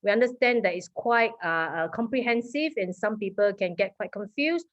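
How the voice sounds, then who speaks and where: A woman from Malaysia with a Malaysian accent presenting at an online meeting, quick and full of fillers. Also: mid-range voice, expressive. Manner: fast, with many fillers. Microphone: video call. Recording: dull lo-fi call audio.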